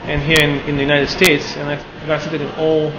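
A fairly high-voiced man with a Bulgarian accent talks haltingly, stopping often as he searches for words. He is in his thirties. The recording is muffled and roomy, with steady noise from the gallery around him. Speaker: artist. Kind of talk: documentary interview. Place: art gallery, indoors.

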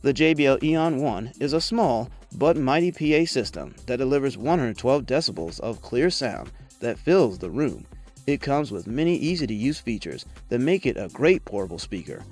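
A man's voice: mid-range voice, sing-song, normal speed, a little mellow. A man reading a scripted product review voice-over in a polished, promotional manner. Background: background music.

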